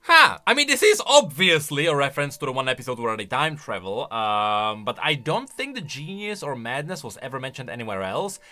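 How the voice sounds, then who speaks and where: A man giving casual, animated reaction commentary on game voice lines, with emphatic bursts and quieter asides. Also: mid-range voice, a little fast, few pauses, faint music behind.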